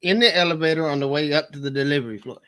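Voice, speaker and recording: A man's voice: on the high side, racing, bright, forceful tone, clear, resonant tone. A man, co-hosting a live chat in a joking, easygoing way. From Arkansas. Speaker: co-host.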